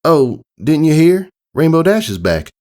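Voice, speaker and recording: A man's voice: on the high side, big pitch swings, unhurried, darkish tone, voice pushed up. A man voicing a friendly farm mare, a big sister with folksy, plain-spoken country talk, in a storybook reading. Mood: uneasy.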